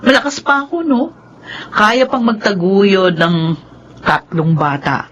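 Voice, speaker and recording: A woman's voice: medium pitch, measured pace. An actress with a Filipino accent playing a devoted, determined adoptive mother in her fifties in a radio drama. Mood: earnest, cheerful. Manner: expressive, earnest, motherly. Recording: dull, slightly noisy radio recording.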